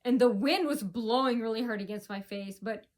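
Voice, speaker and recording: A woman's voice: a little high, moderate pace. A woman vlogger talking straight to her viewers in a long, rambling monologue, full of fillers and restarts.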